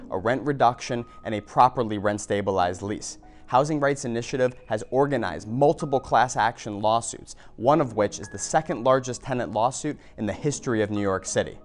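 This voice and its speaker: A man in his thirties, a nonprofit founder, giving a polished scripted speech to camera with rhythmic lists. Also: medium pitch. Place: office room.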